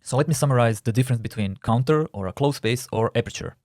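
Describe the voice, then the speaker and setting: A man's voice: medium pitch, fast, a little mellow, no room sound, studio quality. A man with a Croatian accent, a non-native English speaker, explaining typography terms in a careful recorded course narration. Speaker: typography instructor.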